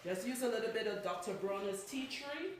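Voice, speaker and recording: A woman's voice: mid-range voice, a little fast. A woman with a Trinidadian accent, a loctician chatting and teasing while she demonstrates hair care in a salon. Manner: chatty, teasing, lots of "right?" and "okay?". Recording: very echoey room, faint noise.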